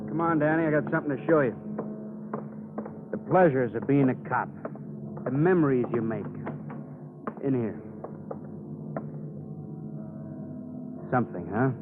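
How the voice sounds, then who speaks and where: An actor playing a sardonic, matter-of-fact police detective sidekick with clipped lines in a 1950s radio crime drama. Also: male voice, medium pitch, normal speed, long pauses, over loud music, lightly acted. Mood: wry. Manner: sardonic, clipped, tagging remarks with questions. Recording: narrow-band old radio, faint noise.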